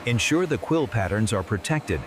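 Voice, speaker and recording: A man's voice: lowish voice, expressive, moderate pace, heavy background noise. A man giving instructions to workers in scripted workplace dialogue. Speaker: factory worker.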